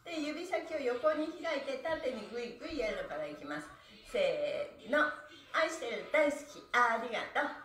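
Chirpy high-voiced woman leading a stretch class. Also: animated, moderate pace, a bit bright. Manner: cheerful, chanting counts with laughs. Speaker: stretch instructor. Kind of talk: live-streamed stretch class. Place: small room at home, on a floor mat. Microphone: far camera. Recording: echoey room, voice from far off.

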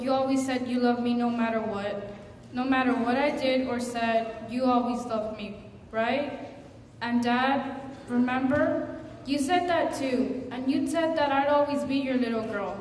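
Brief: actress playing a tearful teen girl, halting and pleading, slightly high voice, echoey hall from afar. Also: close to an everyday voice. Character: frightened teenage girl confessing to her parents. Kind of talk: stage monologue. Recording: echoey hall, distant mic, faint noise.

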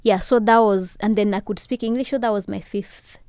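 A young woman from Rwanda, a podcast guest, telling her story in casual chat with soft laughs. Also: medium pitch, fairly even intonation, brisk, dry recording, clean studio sound. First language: Kinyarwanda. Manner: warm storytelling with soft laughs and many "you know"s. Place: podcast studio, indoors. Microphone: studio boom mic with headphones.

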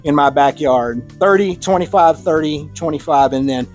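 Relaxed Texan man explaining antennas. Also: on the high side, moderate pace, soft background music. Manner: relaxed, conversational, with fillers and restarts. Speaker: club presenter on radio antennas. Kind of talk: casual technical presentation. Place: club meeting room.

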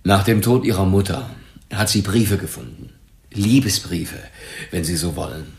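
Low-voiced troubled businessman in roomy echo. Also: moderate pace, vocal fry. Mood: subdued, confiding. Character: defensive, troubled businessman under police questioning, grown son. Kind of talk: German radio crime drama acting.

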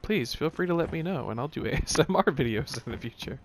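A man's voice: fairly high pitch, animated, fast, slight background noise. A man giving casual, joking, profane commentary as he plays a video game, sometimes in mock-ASMR whispers. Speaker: video game player and host.

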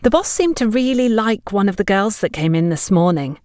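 A woman's voice: mid-range voice, expressive, moderate pace, darkish tone, dry recording, studio quality, natural voice. A woman voicing a friendly young shop girl in an audio story, confiding and helpful.

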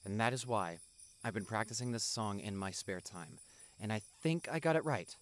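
A young man playing a devoted doctor boyfriend in a roleplay audio drama, speaking softly and affectionately with some hesitation. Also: fairly low pitch, expressive, moderate pace, lightly acted. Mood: earnest, loving. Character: tender, devoted doctor boyfriend on an anniversary date. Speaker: voice actor.

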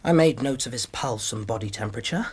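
An earnest doctor in a radio drama. He is polite, precise and formal, with a husky voice and animated rises and falls of pitch. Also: fast. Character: earnest, courteous male doctor recounting his case. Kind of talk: radio drama acting, storytelling.

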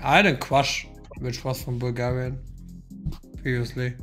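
A man's voice: medium pitch, little pitch movement, measured pace, a bit bright, soft background music. A German-speaking man streaming a game, chatting loosely with a friend and swearing now and then. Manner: loose, swearing, restarts often. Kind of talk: casual gaming stream chat.

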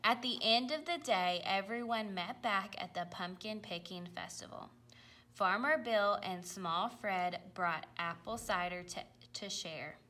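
A woman reading a children's picture book aloud, with careful, expressive delivery. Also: medium pitch. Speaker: storybook reader.

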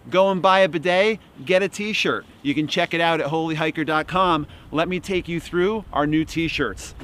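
Middle-aged man, slightly raised pitch, deadpan joking pitch, outdoors in woods, close camera mic. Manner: dry, mock-salesman humor. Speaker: outdoor gear vlogger. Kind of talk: deadpan comic product pitch. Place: outdoors in bare winter woods.

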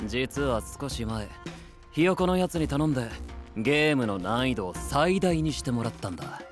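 An actor playing an arrogant, commanding young strategist in an anime voice drama. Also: male voice, medium pitch, animated, normal speed, soft background music, lightly acted. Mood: haughty scheming. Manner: haughty, commanding. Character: arrogant, ruthless young warlord strategist commanding his squad.

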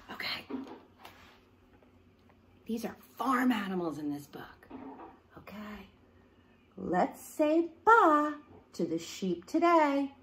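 High, very animated woman reading to children. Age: middle-aged. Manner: animated, exaggerated, sing-song child-directed delivery with animal sounds. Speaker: children's read-along teacher. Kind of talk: interactive picture-book reading for young children. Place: living room at home, indoors.

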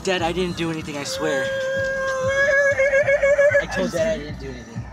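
A man's voice: a little high, wildly swooping pitch, normal speed. A teenage boy riding in the passenger seat, joking and bickering with his sister, giggling and easily startled. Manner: goofy, giggly, easily alarmed. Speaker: passenger, younger brother. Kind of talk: casual joking chat in a car. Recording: slightly distant, loud music.

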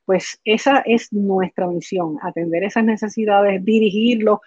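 A woman's voice: medium pitch, steady tone, unhurried, darkish tone, no room sound. Older Puerto Rican woman, dull call audio. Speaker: interview guest, patient foundation director. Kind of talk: explanatory interview answers. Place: living room at home, indoors. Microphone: computer video call.

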